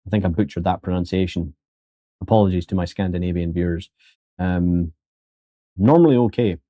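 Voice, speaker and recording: A man's voice: sits low, normal speed, dark tone, no echo. A man teaching 3D sculpting on a live stream in a casual, joking way, talking to his viewers as folks.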